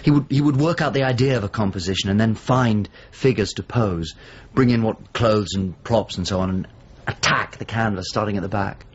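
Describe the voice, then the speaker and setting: A male actor playing a middle-aged painter in a radio drama, measured, inquiring and a little hesitant, with a husky voice. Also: fairly low pitch, muffled recording. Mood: curious. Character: Italian painter, probing visitor seeking an old friend. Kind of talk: naturalistic radio drama acting.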